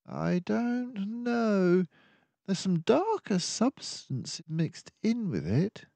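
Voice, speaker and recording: A man's voice: high-pitched, sing-song, drawn-out words, creaky, mellow, no room sound, voice pushed up, full character voice. A man voicing a dignified, puzzled mouse doctor, a loyal sidekick in a children's storybook, with a tentative, questioning manner. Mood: puzzled, unsure. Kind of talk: children's storybook read-aloud.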